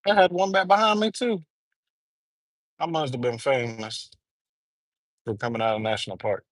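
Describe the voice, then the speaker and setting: A man's voice: mid-range voice, steady tone, normal speed, a bit bright, no echo. A young man from Alabama with a Southern accent, rambling casually to his viewers during a live stream. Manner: rambling, chatty, addresses viewers as gang. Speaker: livestreamer. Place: on the road and in a store.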